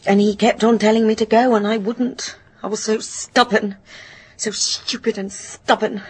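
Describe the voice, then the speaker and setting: Actress as a husky, guilt-ridden signalman's daughter. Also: mid-range voice, fairly even intonation, close to an everyday voice. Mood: remorseful, agitated. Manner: halting, anguished, bitter, often dropping to a murmur. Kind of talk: radio drama acting, confessional monologue.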